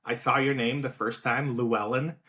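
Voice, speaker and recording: A man's voice: mid-range voice, moderate pace. Light south african accent, guy in his thirties, easygoing guest, lots of ums. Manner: relaxed, many fillers and restarts. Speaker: guest, outreach director. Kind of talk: casual interview talk.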